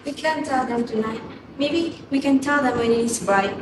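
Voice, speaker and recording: Woman acting anxious high-voiced granddaughter, noisy recording. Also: moderate pace, distant mic, close to an everyday voice. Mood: pleading, sad. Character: anxious, pitying young granddaughter. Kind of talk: amateur student stage play performance.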